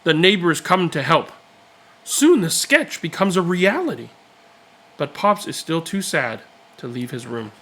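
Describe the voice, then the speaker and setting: A man reading a children's picture book aloud, with expressive, deliberate and dramatic phrasing. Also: a little high, a little hiss or hum. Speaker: read-aloud storyteller.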